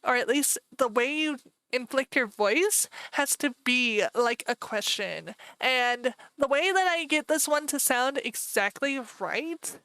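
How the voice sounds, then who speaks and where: A woman's voice: high-pitched, slow pace, fairly bright tone, no room sound, low-quality recording. A woman with a Michigan accent streaming live from home, chatting casually and rambling with lots of "like" and "you know".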